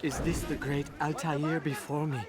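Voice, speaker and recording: An actor playing an eager, deferential assassin informant in a video game, speaking in formal, old-fashioned phrasing. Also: male voice, a little high, level tone, normal speed, close to an everyday voice. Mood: eager, urgent. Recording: game audio with crowd behind.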